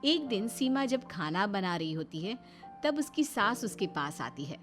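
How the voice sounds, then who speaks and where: A woman's voice: mid-range voice, very animated, a little slow, faint music behind. A woman narrator reading a scripted family story.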